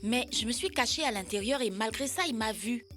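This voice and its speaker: A woman's voice: a little high, expressive, brisk, a bit bright, soft background music. A woman playing an inquisitive young child in an educational children's cartoon dub. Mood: doubtful.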